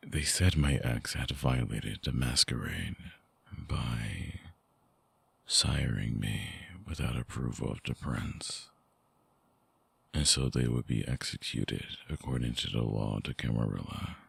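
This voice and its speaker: A man playing a brooding, vulnerable vampire ex-lover in an intimate ASMR audio drama, hushed and halting, with a breathy, husky, gravelly voice close to the mic. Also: rumbling low, no room sound, heavily acted. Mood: somber confession. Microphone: close binaural microphone, dry.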